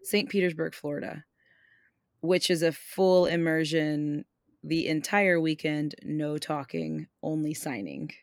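A woman's voice: deep voice, a little slow, no room sound, clean studio sound. A woman from Puerto Rico co-hosting a podcast, chatting in an easygoing, agreeable way. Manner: easygoing, agreeable, many fillers. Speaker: podcast co-host. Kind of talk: casual podcast conversation.

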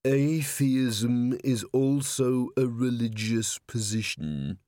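A man's voice: medium pitch, mellow, dry recording, studio quality, lightly acted. A man voicing a huge male golem in an audiobook, solemn and literal-minded, speaking in formal, ponderous phrases. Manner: formal, deliberate, ponderous wording. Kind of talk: audiobook character voice.

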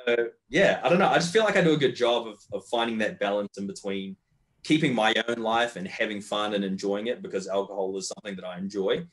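Deep-voiced Australian young man, candid. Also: fast, fairly bright tone, rough recording. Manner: candid, rambling, swears freely. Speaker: guest powerlifter. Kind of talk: casual podcast chat.

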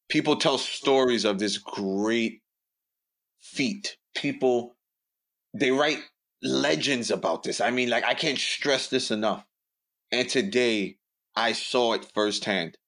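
A man's voice: medium pitch, measured pace, fairly bright tone. A young man, telling stories bluntly and building up jokes on a podcast. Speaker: podcast co-host. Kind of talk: casual storytelling chat.

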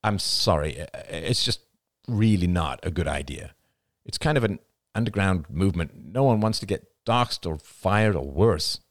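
A man's voice: low-pitched, animated, normal speed, dry recording, studio quality. Man playing an intense, insistent husband. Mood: reluctant. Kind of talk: dramatic audiobook dialogue.

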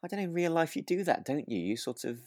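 A man's voice: a little high, big pitch swings, fast, darkish tone, no room sound, studio quality. A man in casual podcast chat as co-host, agreeable and hesitant in his phrasing. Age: over 25, adult. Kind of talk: casual chat reviewing a radio drama.

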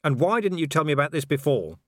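A man's voice: on the high side, big pitch swings, fast talker, a bit bright, no room sound, studio quality, pitched above the performer's own voice. A man voicing a weary, sceptical policeman in an audiobook, blunt and wry as he questions. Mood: terse, dry. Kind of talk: audiobook character acting.

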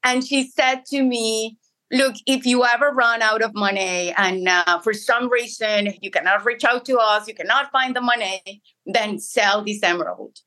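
A woman's voice: a little high, moderate pace, pushed and bright, rough recording. A woman with a Colombian accent, a Spanish speaker by birth, answering interview questions earnestly and often restarting her phrases. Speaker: interview guest. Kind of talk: conversational interview.

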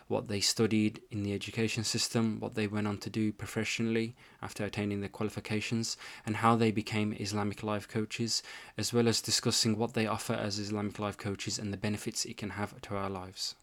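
A man hosting a podcast, reading a scripted guest introduction and then asking eager follow-up questions. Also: lowish voice. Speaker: podcast host. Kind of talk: podcast interview.

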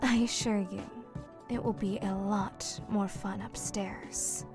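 A woman acting in an audio drama as a menacing mermaid, cool and aloof, with a formal, deadpan delivery. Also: medium pitch, takes it slowly, over music. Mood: coolly seductive.

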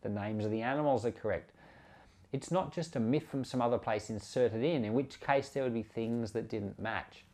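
A man's voice: mid-range voice, normal speed, a little mellow. A man giving an informal Bible commentary as a pastor, conversational and emphatic, explaining things with examples. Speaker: pastor and Bible podcast host.

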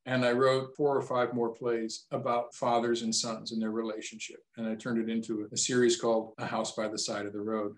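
A man in his sixties with a Midwestern American accent, a playwright giving thoughtful interview answers in an unhurried, storytelling way. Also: medium pitch, reverberant, low-quality recording.

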